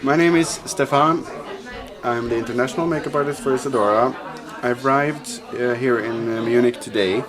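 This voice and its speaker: A man working as a makeup artist, talking in a short event interview in a halting way with fillers. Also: mid-range voice. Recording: loud crowd chatter behind.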